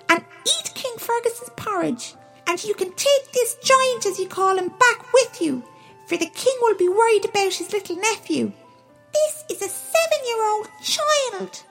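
A shrill, pompous little storybook king, acted out by a woman, extremely high and swooping in pitch. He blusters and complains with petulant indignation. His piercing voice rings through a long reverb. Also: animated, measured pace, fairly bright tone, exaggerated voice. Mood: scornful, furious. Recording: long hall reverb, music underneath.

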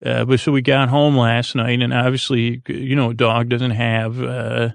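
Male sleep podcast host: creaky, flat-toned, halting and meandering, recorded close and dry. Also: mid-range voice, dry recording. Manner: meandering, hesitant, halting with repeated false starts. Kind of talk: rambling bedtime podcast monologue.

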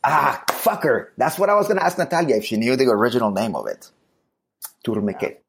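A man's voice: mid-range voice, lively intonation, a little fast, creaky, a little hiss or hum. A man from Colombia co-hosting a podcast, chatting casually in a jokey, emphatic way. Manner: jokey, emphatic, trails off mid-sentence. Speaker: podcast co-host.

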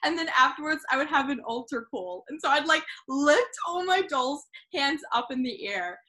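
A woman's voice: high-pitched, expressive, moderate pace, sharp tone. A young woman born in England and raised in New Zealand, a podcast guest chatting eagerly, laughing often and saying "like" a lot. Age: young adult. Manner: enthusiastic, laughs often, fillers like "like". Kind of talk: casual conversational interview.

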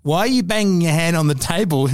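A man's voice: a little high, a little fast. A man with an Australian accent co-hosting a podcast, trading casual satirical banter. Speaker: podcast co-host.

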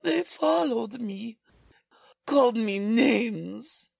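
A woman playing a high, creaky, frightened housewife. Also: animated, no echo, full character voice. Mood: shaken. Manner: hesitant, halting delivery. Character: frightened, bewildered German-American housewife, timid and gentle. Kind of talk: 1940s radio drama acting.

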